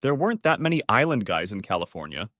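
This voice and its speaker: A man's voice: lowish voice, big pitch swings, quick pace, a bit bright, no room sound, clean studio sound. Man as a sarcastic, wounded husband. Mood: accusing. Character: Steve, sarcastic, wounded husband needling his wife. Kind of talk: audiobook-style dramatic reading of dialogue.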